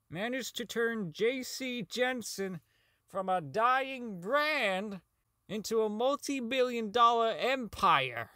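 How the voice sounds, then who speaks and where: Man acting a high-voiced, bitter, unraveling engineer. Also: sharp tone, dry recording, clean studio sound, full character voice. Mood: weary. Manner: rambling, stammering, profane, prone to outbursts. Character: socially inept, bitter male robotics engineer unraveling toward insanity. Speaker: voice actor in a fan-made audio drama. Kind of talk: dramatic monologue as a recorded log.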